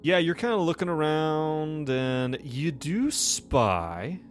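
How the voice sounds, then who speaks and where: A man narrating a tabletop game as game master, improvising in a loose, halting, conversational way. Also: a little high, animated, soft background music.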